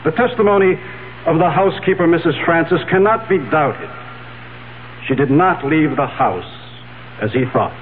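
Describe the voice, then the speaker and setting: Old radio drama: solemn male courtroom accuser, played by an actor, measured and emphatic, muffled with faint hum. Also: a little high, lively intonation, lightly acted. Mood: grave, accusing. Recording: muffled, hissy 1970s radio recording with hum.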